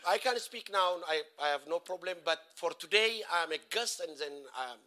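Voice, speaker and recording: High bright-voiced Ethiopian pastor, forties. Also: male voice. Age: 40s. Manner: halting, simple English in short phrases. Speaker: guest pastor. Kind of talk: sermon through an interpreter.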